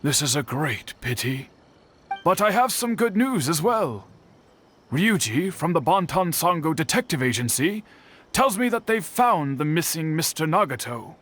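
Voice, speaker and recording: An actor voicing a gentle older male smithy elder in a video game dub, talking slowly and thoughtfully. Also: fairly high pitch, slight background noise. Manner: slow, thoughtful, expressive.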